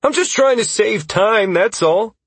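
A man voicing an excitable, reckless and cheerful male adventurer with eager energy in an audiobook. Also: very high-pitched, slow pace, slightly rough, no echo, pitched above the performer's own voice, exaggerated voice. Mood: defensive.

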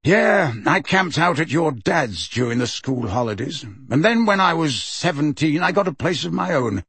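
A man plays a bitter grown man who answers coldly and impatiently, his pitch moving a lot. The voice is husky and dry. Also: very animated, normal speed, fairly bright tone, no echo, full character voice. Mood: cold, curt. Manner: cold, curt, impatient. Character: bitter cold-spoken grown man, house heir.

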